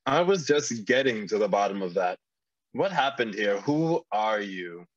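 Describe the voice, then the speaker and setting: A man's voice: lowish voice, sing-song, measured pace, no room sound. Guy doing a suspicious cartoon wizard, stern and formal, dry but lo-fi mic. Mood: exasperated. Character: wary, calculating male wizard. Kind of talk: animated fantasy table read.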